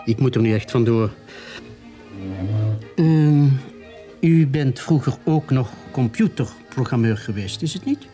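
An actor playing a polite, dutiful police sergeant with a matter-of-fact manner in a radio drama. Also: male voice, medium pitch, expressive, a little slow, long pauses, mellow, soft background music, close to an everyday voice.

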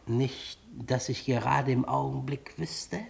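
An office clerk who bows to authority. His voice is of average male pitch, eager to please, careful and a bit gossipy. The delivery is that of classic German radio drama. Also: level tone, takes it slowly, creaky, a little mellow. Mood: cautious.

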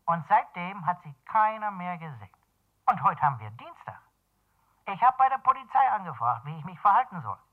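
High male voice, fussy caretaker, halting folksy delivery, tinny telephone line, radio crime drama. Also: sing-song. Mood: agitated, dutiful. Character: fussy male building caretaker reporting to an owner. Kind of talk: 1970s German radio crime drama acting. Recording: band-limited telephone line in radio play.

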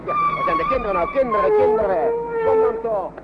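Kindly, fretful man, animated voice. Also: extremely high pitch, brisk, highs cut off, exaggerated voice. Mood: alarmed. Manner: fussy, reassuring, repeats himself. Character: kindly, anxious man shepherding a group of children. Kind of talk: radio play acting. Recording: noisy old radio play recording.

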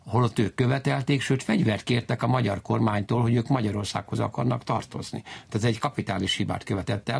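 A man explaining history informally in an interview, emphatic and digressive, often repeating his key points, with a gravelly, husky voice. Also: fairly low pitch.

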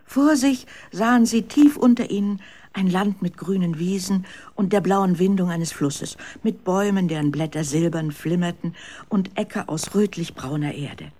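A narrator telling a children's radio story in a measured, expressive scripted style. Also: medium pitch.

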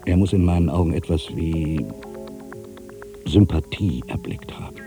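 A man's voice: very deep voice, moderate pace, gravelly, music in the background. A man playing an ageing, solitary writer, proud and wry, brooding through a literary dramatic monologue. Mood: musing, wistful. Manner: brooding, self-questioning, swinging from mutters to outbursts.

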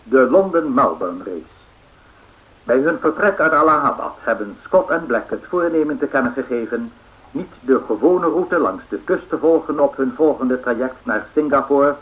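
A man's voice: mid-range voice, expressive, a bit bright, natural voice, low-quality recording. Formal 1930s radio newsreader played by a man. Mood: formal, factual. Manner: measured, formal. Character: male radio news announcer of the 1930s reading race bulletins. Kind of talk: formal scripted news bulletin in a radio play.

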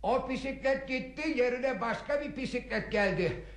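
An older man in a radio play, eager and hesitant. His voice sits high and coaxing, with a clear room echo around it. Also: moderate pace. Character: sheepish, eager older husband proud of his bicycle. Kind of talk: Turkish radio theatre acting. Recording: echoey room.

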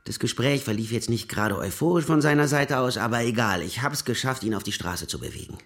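A man's voice: mid-range voice, lively intonation, brisk, a bit bright. Sarcastic man on the run. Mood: wry, rambling. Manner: sarcastic, colloquial, digressive. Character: sarcastic, rambling fugitive man, prosecutor's resentful son. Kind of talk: first-person narration and dialogue in German radio crime drama.

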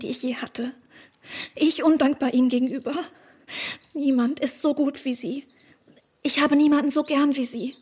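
High, gushing young woman. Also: a little mellow. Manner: gushing, self-reproachful. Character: devoted, remorseful young female friend, effusive and naive. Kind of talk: radio drama acting.

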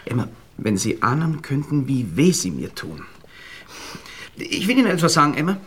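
An actor playing an ardent, nervous young Viennese gentleman in a radio drama, pleading effusively and haltingly with an Austrian accent. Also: mid-range voice, wildly swooping pitch, slightly rough, exaggerated voice. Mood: fervent pleading. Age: young adult.

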